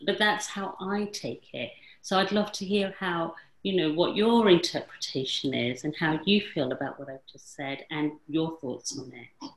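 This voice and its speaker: A woman's voice: sits low, normal speed. A woman from England hosting a conversation, talking reflectively with lots of fillers and restarts. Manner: rambling, many fillers and self-corrections. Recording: echoey room sound.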